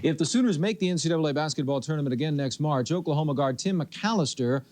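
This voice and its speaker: Rapid-fire male sportscaster. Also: fairly high pitch, lively intonation, a little mellow, a little hiss or hum. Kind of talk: sportscast delivery.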